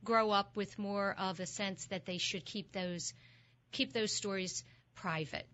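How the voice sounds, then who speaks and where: A woman's voice: mid-range voice, fairly even intonation, a little slow, a bit bright, dull audio. A woman hosting an interview, asking questions and reflecting in an encouraging way. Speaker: host. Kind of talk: interview conversation.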